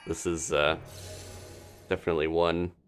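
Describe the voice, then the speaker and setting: A man's voice: sits low, level tone, normal speed, soft background music. A man doing casual live commentary on a video game as he plays, thinking aloud. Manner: thinking aloud, talking to himself and his game character.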